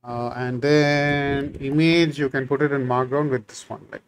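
A man's voice: mid-range voice, fairly even intonation, measured pace, a little hiss or hum. A man in his thirties whose first language is Tamil, giving a casual screen-share coding tutorial from a small room and thinking aloud with many fillers. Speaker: programming tutor.

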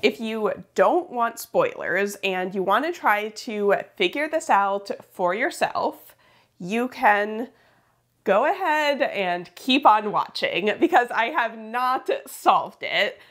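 A woman's voice: mid-range voice, lively intonation, slow, a bit bright. A young woman vlogging about jigsaw puzzles in a chatty, upbeat way, laughing often, still a little sniffly from a cold. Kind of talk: casual chat to camera. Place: indoor studio with shelves.

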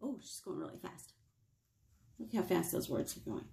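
An American woman in her thirties leading a picture-book storytime for small children, playful and expressive, asking them questions. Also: lowish voice, normal speed, gravelly. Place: small indoor room with whiteboard.

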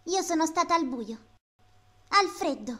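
A woman's voice: very high-pitched, animated, unhurried, bright, forceful tone, full character voice. A woman playing the wounded, resentful ghost of a young girl in an amateur dubbed TV drama, with an emotional, plaintive manner. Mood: hurt, bitter. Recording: music bed behind the voice.

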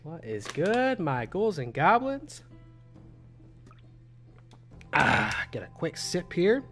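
A young man with a hoarse, phlegmy voice from a cold, talking casually to his stream from a desk mic in a small home room. His voice sits a bit high for a man, and he chats in a loose, self-deprecating way. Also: huge pitch swings, slow, fairly bright tone, soft background music. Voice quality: raspy, phlegmy. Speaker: gaming streamer.

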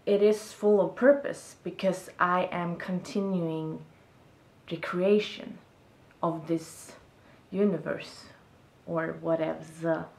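Slow, low-voiced Swedish woman musing. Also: fairly even intonation, creaky, a little mellow, echoey room. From Sweden. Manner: thoughtful, searching for words, gestures while talking. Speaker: vlogger. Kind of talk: reflective casual monologue to camera. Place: small room indoors, seated on a bed.

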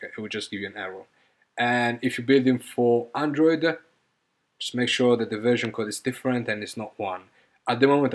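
A man presenting an informal software tutorial in a halting, hesitant way, often repeating phrases as he goes. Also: mid-range voice. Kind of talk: informal screen-recorded coding tutorial.

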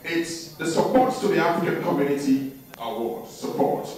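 A man's voice: fairly high pitch, moderate pace, recorded from a distance, over music. A man co-hosting an awards night, formally announcing categories and recipients in a function room. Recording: very echoey room, off the PA.

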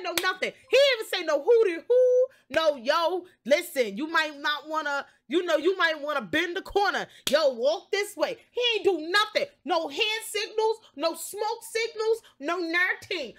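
Very high, animated New woman. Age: young adult. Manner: expressive, slangy, sweary. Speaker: game streamer. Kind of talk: animated casual storytelling on a livestream.

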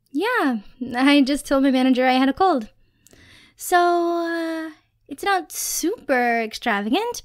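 A woman's voice: high voice, dry recording, clean studio sound. A woman playing a dorky, affectionate young girlfriend in a casual ASMR roleplay, chatty and teasing as she rambles. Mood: cheerful, excited. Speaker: roleplay voice actor.

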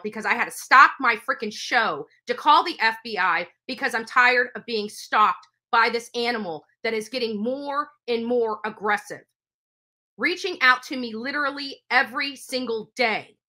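A middle-aged woman speaks in a high, extremely sharp and tense voice. She is upset and emphatic, repeating herself in a commanding livestream address from a small room. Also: normal speed. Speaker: live show host. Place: small indoor room with curtains.

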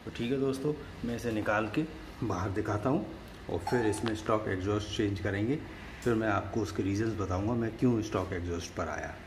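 A man's voice: lowish voice, fairly quick, noisy background. A man talking casually and conversationally, explaining something hands-on as a vlogger filming on a phone at home. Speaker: motorcycle vlogger. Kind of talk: casual product comparison vlog. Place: at home by marble staircase.

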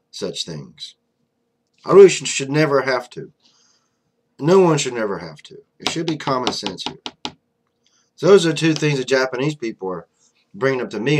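A young man talks straight into his desk webcam from a small room, airing opinions in a loose, blunt way. He leans on fillers and asides, with a middling pitch and an everyday conversational flow. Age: young adult. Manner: blunt, conversational, many fillers like "you know". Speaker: vlogger. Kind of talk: casual political commentary to camera. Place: small room indoors, flag on wall behind.

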